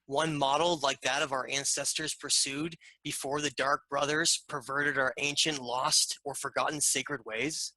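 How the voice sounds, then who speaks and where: A man's voice: medium pitch, steady tone, moderate pace, hardly any pauses, sharp tone, dry recording, low-quality recording. A man playing a principled, questioning alien goddess in a screenplay read-through, speaking formally and earnestly. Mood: respectful, questioning. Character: principled, questioning alien goddess sister, respectful yet challenging.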